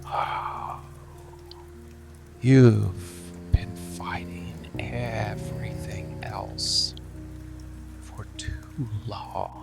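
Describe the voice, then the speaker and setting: A man voicing a seductive, possessive, protective monster lover in intimate ASMR roleplay, with a breathy, gravelly, often whispered voice and slow, halting, lingering delivery. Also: on the low side, fairly even intonation, full character voice. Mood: brooding, intimate. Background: rain and music.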